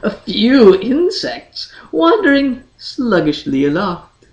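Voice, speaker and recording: Animated woman reading slowly in an echoey room. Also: on the low side. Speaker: audiobook reader, channel host. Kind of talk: measured dramatic storybook narration. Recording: echoey, roomy sound.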